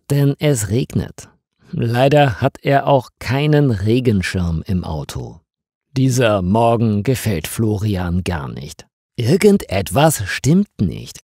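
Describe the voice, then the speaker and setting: A man reads a scripted story aimed at language learners. His delivery is slow and deliberate, with highly varied intonation across short sentences. The voice has a somewhat husky edge. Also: darkish tone, no room sound, studio quality. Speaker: narrator of stories for language learners.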